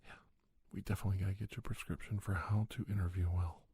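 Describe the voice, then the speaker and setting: A middle-aged man giving soft-spoken, encouraging, folksy advice in an ASMR role-play, often slipping into a whisper. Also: sits low, flat delivery, quick pace, slightly rough, no room sound. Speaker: podcast host playing an advice-giving doctor. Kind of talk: ASMR, one-sided role-play conversation.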